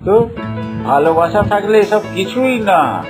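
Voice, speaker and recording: A man voicing a devoted, naive village husband in a cartoon, trusting and animated. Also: sits high, unhurried, over music. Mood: adoring, cheerful.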